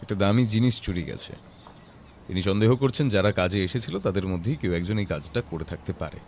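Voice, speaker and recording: A man playing a composed private detective who questions in a measured, insistent way in an audio story. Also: on the low side, animated, darkish tone, a little hiss or hum. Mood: probing, firm.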